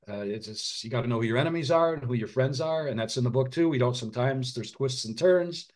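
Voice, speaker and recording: A middle-aged man, an author and physician, speaking earnestly in an interview, often restarting his sentences and dropping quiet at heavy moments. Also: mid-range voice. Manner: earnest, halting, frequent restarts and fillers. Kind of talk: reflective interview conversation.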